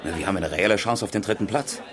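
An actor playing a breezy, pushy East German engineer and rally driver, chummy and sardonic, in a 1970s radio crime drama. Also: male voice, lowish voice, rapid-fire, a crowd in the background, close to an everyday voice. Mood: breezy, eager. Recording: faintly noisy old radio play recording.

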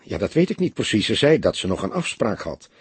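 Very husky and dry, this is a bitter young mother as acted out by a male narrator in an audiobook. She sounds upset and defensive, with scorn for men and a lively, lifted intonation. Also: on the low side, a little fast, no echo, full character voice. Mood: subdued, explaining. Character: young unmarried mother, bitter, upset, sober-mannered witness. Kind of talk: audiobook character dialogue.

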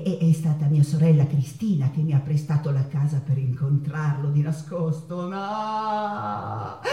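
An actress playing Frida Kahlo, a passionate woman painter confiding about her lovers in an intimate, halting theatrical monologue with playful teasing. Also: medium pitch, a little mellow. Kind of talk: theatrical stage monologue with dramatic pauses. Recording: echoey room, somewhat far from mic.

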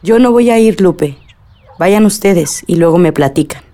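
A woman's voice: on the low side, animated, unhurried, mellow. A woman with a Mexican accent playing a weary, reluctant older godmother in a radio drama. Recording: music bed under dialogue.

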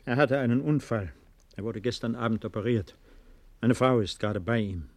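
An actor playing a worried, soft-spoken refugee in a 1950s German radio play, restrained and polite. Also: male voice, medium pitch, normal speed, close to an everyday voice. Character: worried, soft-spoken male refugee visiting his injured brother.

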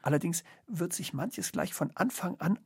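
A reflective, ironic intellectual man in a radio play. His husky voice crackles with fry, and his pitch moves in a lively way. The sound is close and dry. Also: moderate pace, dry recording, full character voice. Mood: measured, ironic. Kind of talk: experimental radio play dialogue.

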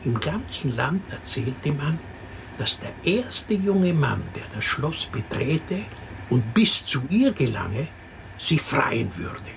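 An actor in a radio drama playing a storyteller who patiently tells a child a princess fairy tale, expressive and explanatory. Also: medium pitch, slow pace, gravelly, full character voice. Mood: patient storytelling. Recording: noticeable background noise.

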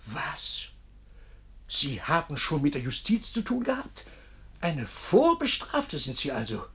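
Outraged taxidermist for radio drama, husky, swoopy, stammering and repeating stuff. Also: female voice, low voice, wildly swooping pitch, slow pace, pauses often, heavily acted. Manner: spluttering, outraged, repetitive. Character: indignant, self-pitying taxidermist demanding money back. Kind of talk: radio play acting.

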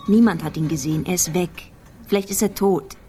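An actress playing a weary, exasperated police investigator in a radio drama, speaking in terse, clipped sentences. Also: female voice, lowish voice, brisk, mellow, a little hiss or hum, lightly acted. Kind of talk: radio drama monologue and dialogue.

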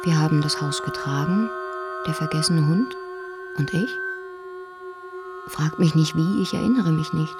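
A woman's voice: low-pitched, a little slow, pauses often. A woman playing a dazed bombing survivor who recites verse in a radio play. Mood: dazed, insistent. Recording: loud music under the voice.

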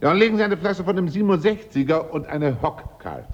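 A man's voice: fairly high pitch, expressive, fairly quick, lo-fi sound. An actor in a German radio drama playing a bluff, hard-drinking, rich older man, jovial and stubbornly insistent. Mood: hospitable, pushy.

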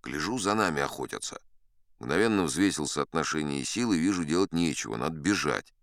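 A man's voice: a bass voice, lively intonation, a little fast, a bit bright, dry recording, studio quality, exaggerated voice. A man playing an older sea captain who spins a yarn in a dramatized audiobook, wry, boastful and conversational. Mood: wry, rueful. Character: old sea captain storyteller, folksy, boastful, dignified.

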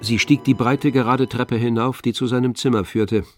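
A man narrating a literary radio drama in a measured storytelling manner. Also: medium pitch, steady tone. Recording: dry studio, music behind.

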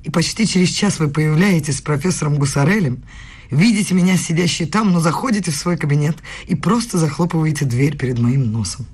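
An actress playing a proud, wounded young student in a radio play, with a low husky voice and pointed delivery. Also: female voice, lively intonation, fairly quick, darkish tone. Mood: hurt, accusing. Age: young adult. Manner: pointed, challenging.

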